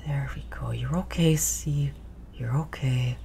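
Casting: woman as gentle android owner for roleplay audio, low-pitched, unhurried, hushed and soothing, some background noise. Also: creaky, exaggerated voice. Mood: hushed, comforting. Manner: soothing, tender, hushed, repeating reassurances softly. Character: gentle, reassuring human owner comforting their distressed android companion. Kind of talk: roleplay audio drama, intimate comforting monologue.

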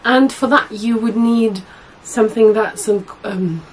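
Husky young woman with a cold. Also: on the high side, measured pace, a little hiss or hum, rough recording. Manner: chatty, rambling, many fillers and self-corrections. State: hoarse from a cold. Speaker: sewing vlogger. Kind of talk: casual chat to camera. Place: small indoor room at home.

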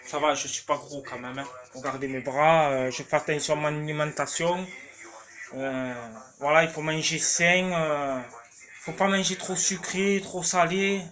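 A man's voice: fairly high pitch, fairly bright tone. A man in his thirties with a Marseille accent, rambling casually to a phone camera in his small home kitchen, full of fillers and restarts. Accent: Marseille French. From Marseille, France. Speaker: cooking vlogger. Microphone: phone camera held at arm's length.

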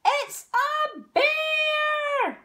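A woman reading a children's picture book aloud in an expressive, theatrical way, drawing out words and chanting repeated phrases. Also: extremely high pitch. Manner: theatrical, drawn-out delivery with chanted repetition and acted sound effects. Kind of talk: expressive read-aloud story narration for young children.

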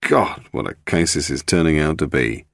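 A British man voicing an irritable, grumbling police inspector with a gruff, growling delivery in an audiobook. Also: very deep voice, expressive, moderate pace, fairly bright tone, dry recording, studio quality, voice pushed down, heavily acted. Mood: irritated.

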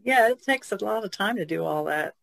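Woman with vocal fry, explaining haltingly. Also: mid-range voice, animated, a bit bright, no echo. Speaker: podcast panelist. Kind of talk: casual chat.

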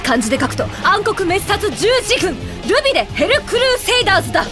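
A woman's voice: very high voice, big pitch swings, fast. A woman playing an imperious, haughty teenage sorceress, declaiming pompously in a comedic anime audio drama. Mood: boastful. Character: imperious teenage sorceress, haughty and grandiose rival clan heiress. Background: music.

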